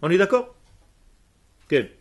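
A man's voice: sits high, big pitch swings, breathy edge. A man who grew up speaking Hebrew, teaching a class emphatically and firing questions at his students. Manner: emphatic, asks the room questions, answers students quickly. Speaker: religious teacher.

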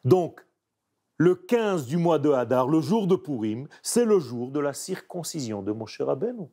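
A man's voice: very animated, warm tone. A man who is not a native French speaker, giving a reflective spiritual talk in an interview. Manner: slow, emphatic, with wide pitch swings.

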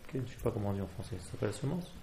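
A man's voice: fairly low pitch, big pitch swings, racing, dark tone, echoey room, a little hiss or hum. A man from Israel with an Israeli accent and Hebrew as his first language, fervently exhorting a religious class. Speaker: rabbi. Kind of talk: Torah class.

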